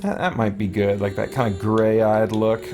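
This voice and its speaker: A man's voice: fairly low pitch, steady tone, moderate pace. A man giving casual, off-the-cuff gameplay commentary as a let's play host, thinking aloud as he goes. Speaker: gaming host, let's player. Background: game music.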